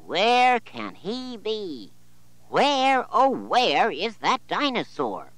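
A man's voice: high voice, very slow, no echo, voice pushed up, full character voice, low-quality recording. A man voicing an eager, cheerful young boy in a children's storybook reading. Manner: excited.

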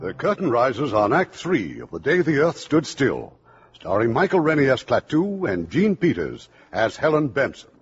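A man's voice: mid-range voice, huge pitch swings, moderate pace, over loud music. A man hosting a 1950s radio show in a polished, courtly, scripted manner. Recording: dull, slightly noisy 1950s radio.

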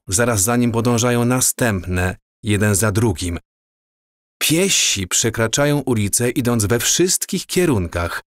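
A man playing a dreamy, awestruck wanderer in an audio drama, with a measured, wistful, descriptive delivery. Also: fairly low pitch, no room sound, studio quality.

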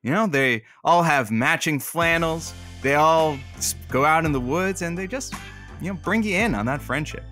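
A man's voice: a little high, animated, normal speed, bright, forceful tone, faint music behind. A man playing an easygoing, teasing radio producer in an audio drama. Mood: rambling promo. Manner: playful, teasing. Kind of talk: semi-scripted audio drama.

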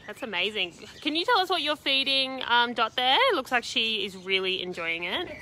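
A woman's voice: on the high side, expressive, normal speed, background noise. A woman casually hosting a live stream from behind the camera, upbeat and chatty as she relays viewer questions. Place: outdoor zoo enclosure. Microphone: close to the handheld phone.

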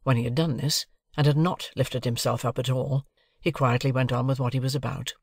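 A man's voice: medium pitch, fairly even intonation, a little fast, gravelly, dry recording, studio quality. A man reading a classic novel as an audiobook narrator, in a literary storytelling style.

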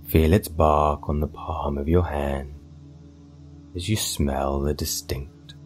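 A man narrating a scripted guided meditation in a soothing, hypnotic, measured way with long pauses. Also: extremely low pitch, fairly even intonation. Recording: voice over meditation music. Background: soft meditation music.

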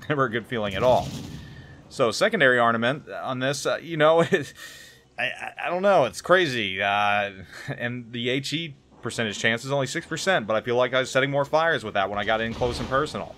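A man's voice: medium pitch, lively intonation, brisk, a bit bright. Rambling man reviewing a game. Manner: rambling, hesitant, repeats words. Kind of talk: casual gameplay commentary.

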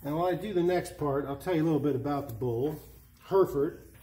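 A man in his fifties casually narrating hands-on garage work, with halting, hesitant phrasing. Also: a little high, dark tone, slight background noise, low-quality recording. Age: 50s. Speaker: DIY hobbyist host. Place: indoor garage workshop.

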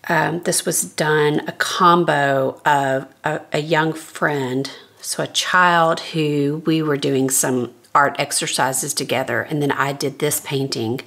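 A woman's voice: low voice, creaky, slightly rough. A middle-aged woman with a scratchy voice, casually talking through her sketchbook pages while flipping them in her studio. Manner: relaxed, thinking aloud while flipping pages. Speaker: artist giving a sketchbook tour. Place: home art studio, indoors. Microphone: overhead camera, speaker off-frame.

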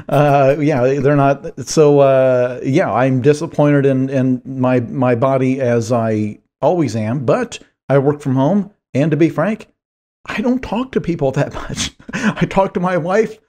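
A hoarse man in his forties, a guest author, chatting in a rambling, self-deprecating way on a video call from a small home office. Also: mid-range voice, slow, a little mellow. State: hoarse, strained voice. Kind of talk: video call podcast chat. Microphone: headphones, desk mic on video call.

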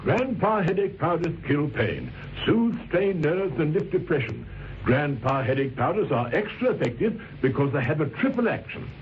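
An actor as an emphatic announcer in an old radio commercial. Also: male voice, a little high, sing-song, moderate pace. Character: emphatic male advert announcer. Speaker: advert announcer. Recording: dull old radio, faint noise.

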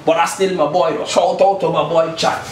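A man's voice: a little high, fairly even intonation, moderate pace, a little hiss or hum. A man, chatting playfully as he demonstrates a home cooking recipe. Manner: playful and chatty, tags lines with "you check?". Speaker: home cook host. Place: small home kitchen indoors. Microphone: camera mic at a distance. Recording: roomy kitchen from far off.

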